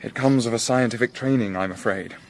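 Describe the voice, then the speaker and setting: Man acting a Victorian gentleman, medium pitch, courteous, measured, probing, period radio drama. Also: slight background noise, lightly acted. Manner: polite, measured, formal. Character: courteous, inquisitive Victorian gentleman of scientific mind.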